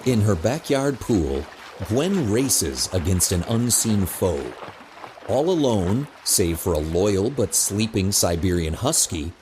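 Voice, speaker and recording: Male narrator for scripted audio drama: low-pitched, animated reading, slightly noisy recording. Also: slow pace.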